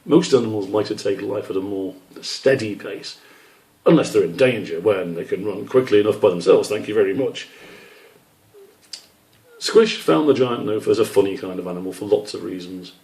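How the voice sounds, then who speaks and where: A man's voice: fairly low pitch, expressive, moderate pace, creaky, warm tone. A man reading aloud from his own children's book as a storybook narrator. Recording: roomy echo, distant microphone.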